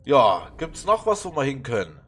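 A man with a stuffy nose from a cold, musing haltingly through a puzzle game with plenty of fillers. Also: medium pitch, huge pitch swings, breathy edge. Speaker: let's play gamer. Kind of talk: casual puzzle game commentary.

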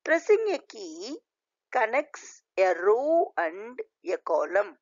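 Slow, lively-pitched woman lecturer. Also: no room sound. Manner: deliberate, halting delivery in short phrases. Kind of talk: scripted slide lecture read aloud. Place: voice-over recorded over slides. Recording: dull, lo-fi voice recording.

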